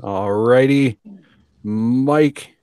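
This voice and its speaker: A man's voice: medium pitch, drawn-out words, dark tone, clean tone, dry recording, clean studio sound. A man hosting a casual podcast discussion among several guests. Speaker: podcast host. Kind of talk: casual podcast panel talk.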